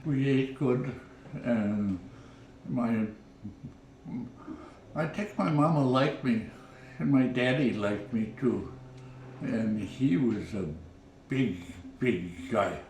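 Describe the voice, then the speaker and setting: Elderly man in his nineties, seated home interview; unhurried, stumbling storyteller, faint room ambience. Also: mid-range voice, darkish tone, roomy sound, distant mic, slight background noise, rough recording. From Minnesota, USA. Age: 90s. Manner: halting, repeats words, chuckles. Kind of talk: oral history reminiscing.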